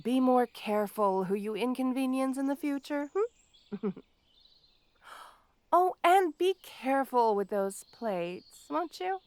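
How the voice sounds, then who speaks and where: A woman's voice: high voice, animated, slow pace, a little mellow, dry recording. A woman playing a petty, obsessive supervillain in an audio roleplay, with a taunting, mock-sweet, theatrical delivery. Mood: gleeful menace.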